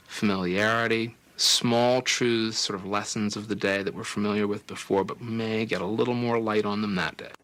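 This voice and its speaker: Reflective lower-voiced man over background noise. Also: fairly bright tone, rough recording. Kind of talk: reflective spoken commentary.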